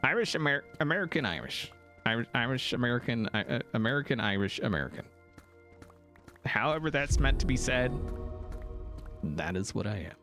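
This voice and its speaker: A man hosting a game live stream, chatting casually and thanking viewers, with a gravelly morning voice. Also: medium pitch, lively intonation, pushed and bright, soft background music. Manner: playful, jokey, thanks donors rapidly. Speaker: livestream host.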